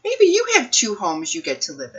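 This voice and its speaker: A woman reading a picture book aloud for children's storytime, phrasing things deliberately and putting questions to her young listeners. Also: medium pitch, wildly swooping pitch, highs cut off.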